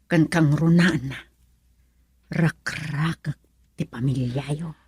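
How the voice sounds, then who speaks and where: An actress with a Filipino accent playing a scheming, mocking mistress in a radio drama. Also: female voice, sits low, darkish tone, husky, no echo, full character voice. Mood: mocking, defiant. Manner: taunting, drawn-out, swinging delivery.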